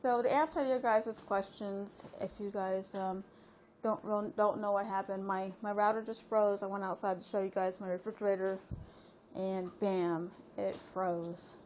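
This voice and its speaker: A woman's voice: medium pitch, steady tone, a little slow, darkish tone, a little hiss or hum. A woman in her late thirties chatting casually on a live stream, rambling and talking straight to her viewers with lots of fillers. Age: 30s. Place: at home.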